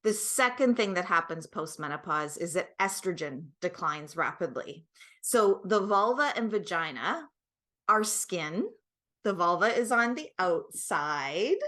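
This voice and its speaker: A woman's voice: fairly low pitch, sing-song, measured pace, bright, forceful tone. A middle-aged woman, a guest expert explaining things conversationally and emphatically, often checking that the listener follows. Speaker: guest expert, product creator.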